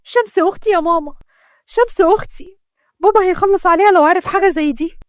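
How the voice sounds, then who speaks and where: A woman's voice: extremely high pitch, animated, fast talker, no echo, clean studio sound, voice pushed up. A woman voicing a tearful, wronged young woman who pleads emotionally in Egyptian Arabic. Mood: desperate, frightened.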